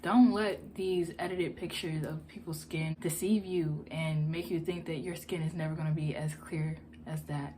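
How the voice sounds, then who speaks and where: A young woman vlogging casually at home about her skincare routine, her voice raspy from allergies, chatty and self-mocking. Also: low-pitched, fairly even intonation, normal speed, hardly any pauses, dark tone, roomy sound, distant mic, a little hiss or hum. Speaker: beauty vlogger. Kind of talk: casual skincare vlog chat. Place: home, at night.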